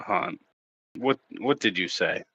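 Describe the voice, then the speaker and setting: Tired co-host with a bright, dull-sounding voice. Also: male voice, medium pitch, big pitch swings, unhurried, creaky, husky. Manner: laid-back, hesitant, interjecting. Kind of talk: casual podcast chat.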